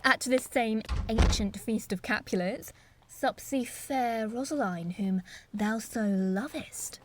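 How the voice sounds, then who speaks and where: A woman's voice: on the high side, slow. A woman playing a teasing, persuasive young friend in a Shakespearean audio drama, drawing out words, on a noisy phone recording.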